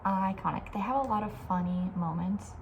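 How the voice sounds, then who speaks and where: A woman speaking softly with breathy, near-whispered edges, chatting casually and rambling with playful opinions as an ASMR host. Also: mid-range voice, moderate pace, muffled recording, background noise. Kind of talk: soft-spoken ASMR casual chat.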